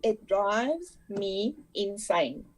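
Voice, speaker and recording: A woman's voice: mid-range voice, animated, very slow, low-quality recording. A native Afrikaans-speaking woman with a South African accent, answering casually as a podcast guest. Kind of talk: casual interview chat.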